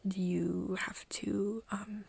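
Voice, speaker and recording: A woman acts out a tender, nervous young human confiding in a vampire friend in an intimate ASMR roleplay. She speaks slowly and hesitantly, drifting between soft talk and whispering. Her voice has a creaky edge and sits close and dry. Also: mid-range voice, flat delivery, no room sound, heavily acted. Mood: hesitant, anxious. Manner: hesitant, halting, breathy whispering mixed with soft speech. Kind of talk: ASMR roleplay, intimate one-sided dialogue to listener.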